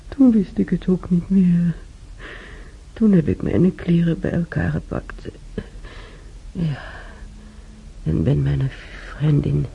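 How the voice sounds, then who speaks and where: An older woman acting an ailing, weary old woman reminiscing alone in a radio drama, halting and sighing, with a breathy voice. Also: on the low side. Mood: weary, regretful. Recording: dull radio recording, faint noise.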